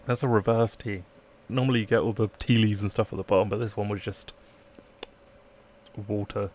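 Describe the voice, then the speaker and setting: A man's voice: lowish voice, fairly even intonation, moderate pace, darkish tone. A man live-streaming a let's play, reading game text aloud and chatting in playful asides. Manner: playful asides, trying out accents.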